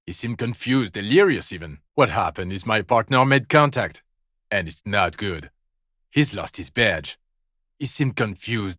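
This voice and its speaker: An actor playing a bitter, weary police detective in a video game, sardonic and exasperated. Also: male voice, lowish voice, fairly bright tone, no echo, studio quality, full character voice. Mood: grave, controlled. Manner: sardonic, exasperated, foul-mouthed. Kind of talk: dramatic video game acting.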